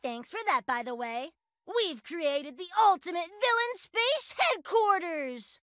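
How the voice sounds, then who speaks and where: An actor playing a boastful young boy mad scientist in an animated video game, gloating theatrically. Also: very high voice, dry recording, clean studio sound, exaggerated voice. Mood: triumphant, scheming. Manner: gloating, theatrical, drawn-out delivery.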